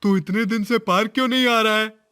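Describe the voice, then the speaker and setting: A man's voice: sits high, steady tone, a little fast, bright, forceful tone, clean tone, no echo, clean studio sound. A man voicing a cheeky young schoolboy in a cartoon story who teases his friend.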